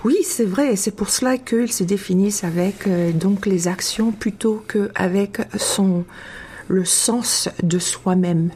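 A woman from France speaking as an interview guest, reflective and halting, with long thoughtful hesitations. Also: medium pitch, darkish tone, a little hiss or hum. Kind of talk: casual, reflective interview talk.

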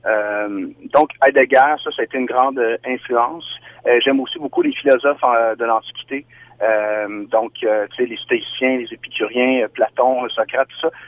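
A man's voice: medium pitch, fairly even intonation. Québécois man, tinny phone line. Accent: Québécois French. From Quebec, Canada. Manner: thoughtful, measured, explaining ideas at length. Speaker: singer-songwriter guest. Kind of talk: reflective interview answers.

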